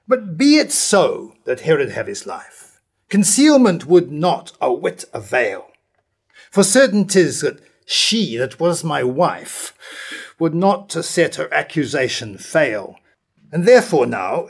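Older man acting a proud nobleman, higher-pitched voice. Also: husky, heavily acted. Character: proud, defiant nobleman scorning cowardice. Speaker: actor in a staged reading. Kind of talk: early modern verse drama reading. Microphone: stand mic at lectern.

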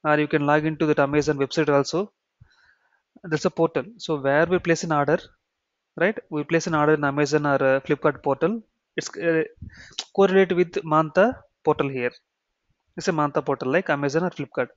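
A man with an Indian accent giving an explanatory software tutorial, repeating key phrases and checking in with "okay" and "right". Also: fairly high pitch, steady tone, brisk, no echo. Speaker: software trainer. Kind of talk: explanatory screen-share tutorial. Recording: dull, muffled lo-fi sound.

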